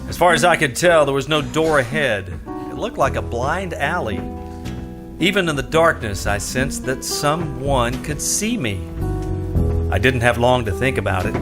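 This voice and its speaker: An actor playing a cynical, wisecracking private detective in an old-time radio noir drama, casual and sardonic. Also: male voice, medium pitch, animated, moderate pace, music in the background, close to an everyday voice. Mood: noir narration.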